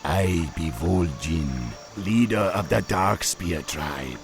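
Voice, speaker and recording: A man's voice: low-pitched, expressive, slow pace, over music, heavily acted. A man voicing a proud male troll chieftain who speaks in an island patois, in a video game cutscene. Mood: urgent. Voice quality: gravelly.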